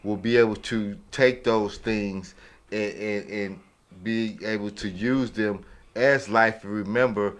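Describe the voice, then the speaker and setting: Low-voiced man in slangy podcast chat. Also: steady tone, slow. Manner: conversational, slangy, frequent check-in tags. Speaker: podcast panelist. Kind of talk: casual opinionated chat.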